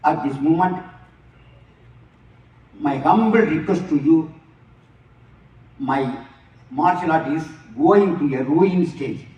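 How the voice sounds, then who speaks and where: A man with a Tamil Indian English accent, a grandmaster giving a slow, halting formal speech to an audience. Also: on the high side, lo-fi sound. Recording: echoey hall, mic far off.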